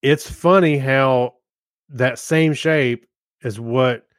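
A man's voice: medium pitch, very slow, no echo, clean studio sound. A man co-hosting a podcast in a casual, easygoing, conversational way. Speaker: podcast co-host. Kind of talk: casual chat.

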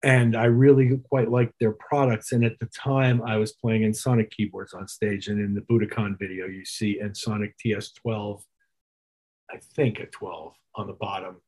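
A middle-aged man with a husky voice answering interview questions as a musician, reflective and detailed in his explanations. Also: lowish voice, level tone, moderate pace, darkish tone, poor audio. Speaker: interview guest, touring keyboard player.

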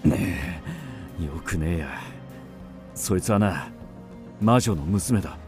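Radio drama role: blunt, superstitious ancient warrior, coarse rustic speech, very animated pitch, music underneath. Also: male voice, slow. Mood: ominous, wary. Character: rough, wary, superstitious warrior of old Japan.

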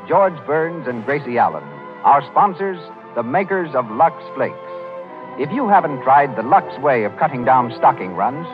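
This is a man announcing on 1930s radio in a formal, declamatory way. His voice sits high. The sound is dull and lo-fi, with clear music behind him.

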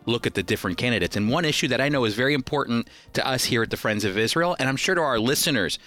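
A man's voice: mid-range voice, animated, racing, bright, forceful tone, no echo. An American man hosting a radio program, speaking in an earnest, emphatic, conversational teaching style. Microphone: close studio microphone.

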